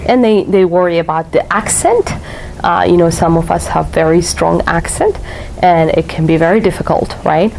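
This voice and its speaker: A woman's voice: fairly low pitch, moderate pace, vocal fry, a little mellow, slight background noise, poor audio. A woman from Africa with an Eritrean accent, speaking as a guest author at a library talk, laughing often and repeating words for emphasis. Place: public library room.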